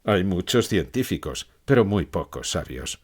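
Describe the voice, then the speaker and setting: A man's voice: low-pitched, sing-song, a little slow, exaggerated voice. A man playing a gloomy old philosopher who gives grave, pessimistic answers in a scripted interview.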